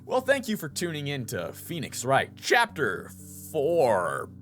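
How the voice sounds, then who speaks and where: A man's voice: mid-range voice, huge pitch swings, a little slow, a bit bright, soft background music. A man giving casual, joking and self-mocking commentary as a YouTuber playing a game.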